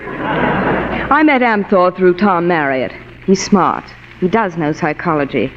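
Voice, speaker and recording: Coaxing femme fatale on muffled radio. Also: female voice, on the low side, animated, slow pace. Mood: confiding. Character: seductive, scheming, cool married blonde femme fatale. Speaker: actress in a radio drama. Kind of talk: 1940s radio noir acting. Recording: muffled, reverberant, noisy old radio broadcast.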